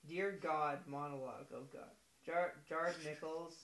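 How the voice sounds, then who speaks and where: A man's voice: fairly high pitch, fairly even intonation, unhurried, a bit bright. A man hosting a podcast, bantering casually with friends in an echoey room. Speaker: podcast host. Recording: roomy, echoing room sound.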